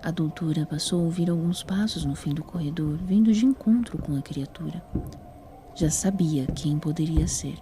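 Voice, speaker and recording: A woman's voice: low voice, normal speed, dark tone, a little hiss or hum. A woman with a Brazilian accent reading scripted narration for a dramatic audio story.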